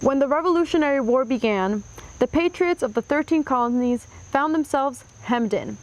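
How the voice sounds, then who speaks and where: A woman narrating a children's history book aloud with clear, expressive storytelling. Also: high voice, normal speed, low-quality recording.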